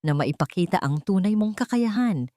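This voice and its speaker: A woman voicing an earnest, gentle young wealthy man, remorseful and in love, in an audiobook drama. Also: mid-range voice, animated, moderate pace, dry recording, lightly acted. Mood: earnest, reassuring.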